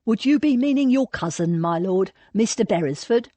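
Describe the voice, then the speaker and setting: A woman's voice: medium pitch, lively intonation, normal speed, dark tone, no room sound, natural voice. A woman voicing a polite, wry male pub landlord who speaks deferentially in an audiobook. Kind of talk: audiobook character dialogue.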